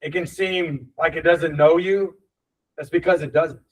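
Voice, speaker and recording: A man's voice: a little high, steady tone, unhurried, recorded from a distance, rough recording. A man leading a real estate team meeting, coaching in a blunt, passionate way and swearing casually.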